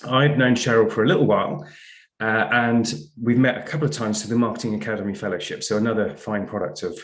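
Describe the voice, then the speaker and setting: A man hosting a podcast, introducing a guest and asking questions in a measured, slightly hesitant way. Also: on the low side, fairly bright tone, echoey room. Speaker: podcast host. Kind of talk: warm guest introduction and interview.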